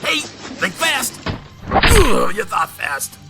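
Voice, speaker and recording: A man voicing a goofy, excitable young ninja turtle who talks in a playful, teasing way. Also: very high-pitched, drawn-out words, gravelly, loud music behind the voice, heavily acted.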